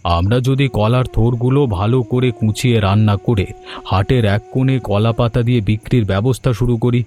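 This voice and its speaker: A man voicing an old village banana farmer in an animated story, speaking earnestly and plaintively with melodrama. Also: medium pitch, brisk, close to an everyday voice. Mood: earnest, planning. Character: distressed old male village banana farmer, lamenting but resolute. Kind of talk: Bengali animated story dialogue.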